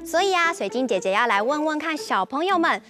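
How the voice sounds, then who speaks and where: A woman's voice: sits high, very animated, fairly quick, sharp tone, soft background music. A young woman with a Taiwanese accent hosting and narrating a story for small children. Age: young adult. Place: TV studio set.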